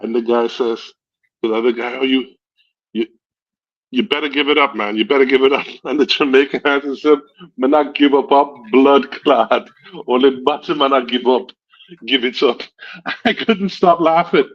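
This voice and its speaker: A man's voice: medium pitch, normal speed. A man with a Guyanese accent streaming and chatting casually, rambling through stories and laughing often. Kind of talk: casual chat. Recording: dull lo-fi stream audio.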